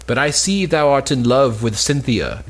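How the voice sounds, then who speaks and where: A man's voice: mid-range voice, expressive, normal speed, natural voice. A young man reading the part of a jealous, probing noblewoman in an Elizabethan stage comedy, with emphatic questioning. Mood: warning. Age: young adult.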